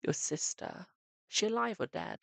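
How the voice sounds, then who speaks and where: A man's voice: high voice, big pitch swings, moderate pace, gravelly, fairly bright tone, dry recording. A man giving a live-stream psychic tarot reading, chatting to viewers and calling them "my darling".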